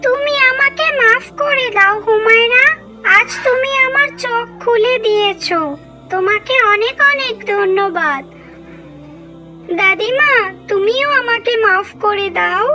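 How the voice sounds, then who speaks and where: Woman acting a young cartoon woman, very high pitch, bright, remorseful, pleading, music behind. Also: unhurried, exaggerated voice. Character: repentant young woman begging forgiveness. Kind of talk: scripted cartoon dialogue.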